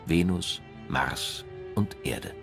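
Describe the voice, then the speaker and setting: A man narrating a scripted science documentary in a measured, formal manner. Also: deep voice, gravelly, over music.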